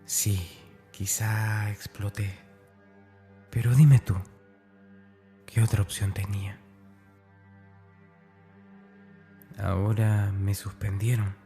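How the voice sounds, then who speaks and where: An actor playing a tormented schoolboy with a dark streak, confessing to his diary in a brooding, intimate horror audio drama monologue. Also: on the low side, level tone, takes it slowly, long pauses, soft background music. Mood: bitter, defensive.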